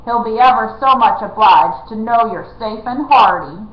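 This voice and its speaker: A woman voicing a big, crafty, bullying male outlaw who talks loud and coarse. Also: a little high, normal speed, natural voice. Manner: loud, coarse rustic talk. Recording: roomy, slightly dull recording.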